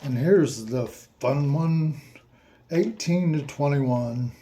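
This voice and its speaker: A man slowly asking listeners a series of reflective questions, with playful asides in a surprised voice. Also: fairly high pitch, mellow. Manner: slow, repetitive questions with mock-surprised asides.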